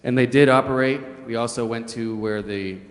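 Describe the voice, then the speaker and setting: A man's voice: mid-range voice, steady tone, moderate pace. A man giving an informal briefing as a city official at a public meeting. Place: large indoor council chamber. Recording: very echoey hall sound.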